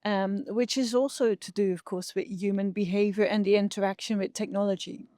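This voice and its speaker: A woman's voice: mid-range voice, fairly even intonation, brisk, warm tone, dry recording, clean studio sound. A middle-aged woman with a Dutch accent, explaining her research conversationally as an interview guest. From Netherlands. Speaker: interview guest, behavioural scientist.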